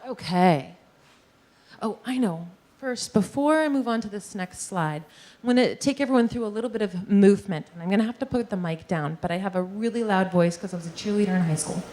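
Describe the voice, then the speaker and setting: A woman's voice: medium pitch, fairly quick. Upbeat woman teacher talking posture n breathing to a room of people, walks them thru little movements. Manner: lively, demonstrative, guides the audience through movements. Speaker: posture and breathing teacher giving a workplace talk. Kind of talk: presentation to a live audience. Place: meeting room with an audience.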